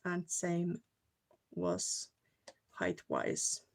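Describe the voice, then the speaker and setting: A woman's voice: fairly low pitch, very animated, drawn-out words. A Finnish woman with a Finnish accent, chatting casually with viewers during a live card-making stream and sometimes hunting for words. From Finland. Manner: chatty, searches for words, talks to viewers while working. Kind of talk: casual live crafting chat. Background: clicking crafting tools.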